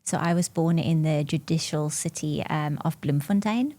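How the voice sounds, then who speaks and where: A South African woman in her forties whose first language is Afrikaans, chatting in an interview as a marketing executive, laughing often and filling pauses with "um". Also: low-pitched, mellow, dry recording. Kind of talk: podcast interview conversation.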